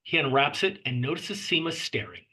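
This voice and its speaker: A man narrates screenplay stage directions at a table read. His voice sits a little high for a man and has a bright, forceful edge. The delivery is that of scripted narration. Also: big pitch swings, fairly quick.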